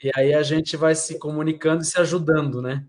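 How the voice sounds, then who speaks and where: Man, from Brazil, live chat host, friendly, laughs easily, slightly high pitch. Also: level tone, fairly quick. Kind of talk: casual interview chat.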